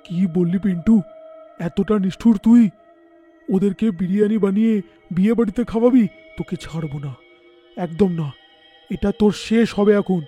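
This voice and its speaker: A man plays a protective chicken farmer in a cartoon ghost story. His voice is high for a man and mellow, and he speaks in an emphatic, dramatic way. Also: normal speed, long pauses, slightly rough, faint music behind. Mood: vengeful. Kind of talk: animated horror folk-tale dub.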